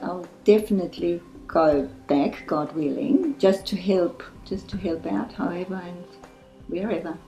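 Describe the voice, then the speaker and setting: A woman volunteer who teaches English, speaking in an earnest, reflective testimonial with soft laughs. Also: fairly low pitch, measured pace, gravelly, muffled recording. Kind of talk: testimonial interview. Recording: echoey room with faint music.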